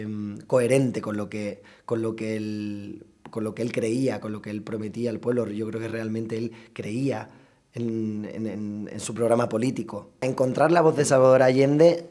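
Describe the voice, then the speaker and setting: A man, a stage actor, talking reflectively in an interview, thoughtful and halting as he repeats and corrects his words. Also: medium pitch, warm tone. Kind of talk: reflective interview talk about stage work.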